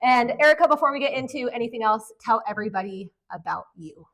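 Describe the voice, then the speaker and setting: A woman's voice: fairly high pitch, lively intonation, fairly bright tone. A woman around forty hosting a podcast, polished in her intro and chatty when she explains things. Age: late 30s to 40. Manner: brisk, polished delivery that turns chatty and explanatory. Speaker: podcast host and Amazon influencer. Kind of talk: podcast conversation.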